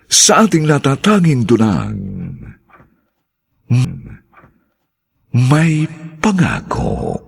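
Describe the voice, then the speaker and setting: A man's voice: fairly low pitch, vocal fry, breathy edge. A man announcing and narrating a radio drama in a formal, dramatic way. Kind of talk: old-style radio announcing.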